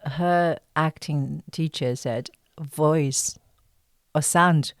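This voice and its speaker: A woman with a low voice speaks as an interview guest, recorded close and dry. She talks casually and haltingly, searching for words and often breaking into a giggle. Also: no room sound. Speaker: guest, voice actress in an audio drama series. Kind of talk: casual podcast interview chat.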